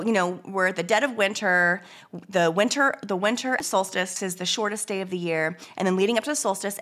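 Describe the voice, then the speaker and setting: A woman with a middle-pitched voice gone hoarse and congested from a cold. She chats casually on a podcast, rambling along and often restarting or correcting herself mid-sentence. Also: a bit bright. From United States. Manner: rambling, chatty, with many restarts and self-corrections. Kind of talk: casual chat.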